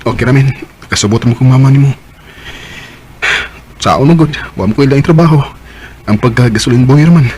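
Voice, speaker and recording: A man's voice: mid-range voice, a little fast, pauses often, a little mellow, a little hiss or hum, close to an everyday voice. A man playing an earnest, hesitant, lovestruck young Cebuano working man in a Filipino radio drama. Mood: sincere. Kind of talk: Bisaya radio drama acting. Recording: music behind the voice.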